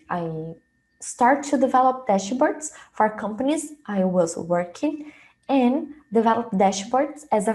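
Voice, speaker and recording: A young adult woman from Brazil, a native Portuguese speaker with a Brazilian accent, presenting an informal online webinar with hesitant, halting phrasing. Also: on the high side, warm tone. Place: small indoor room, video call.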